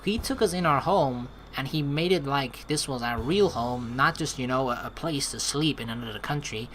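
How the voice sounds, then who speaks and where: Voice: mid-range voice, normal speed, fairly bright tone, slight background noise, poor audio. A let's play streamer giving casual commentary, talking in a rambling, conversational way as if thinking aloud. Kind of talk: casual gameplay commentary mixed with reading game text aloud.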